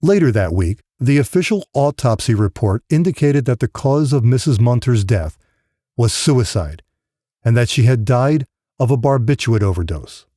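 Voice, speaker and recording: Mellow, expressive male audiobook narrator. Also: mid-range voice, moderate pace, dry recording, studio quality. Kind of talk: scripted narration of a grim novel.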